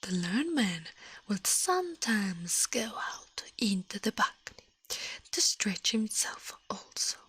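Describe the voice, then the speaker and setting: A woman doing a soft ASMR livestream, reading fables and chatting in a gentle, hushed, breathy whisper, close to the microphone with slightly non-native phrasing. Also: medium pitch, big pitch swings, takes it slowly, dry recording, low-quality recording.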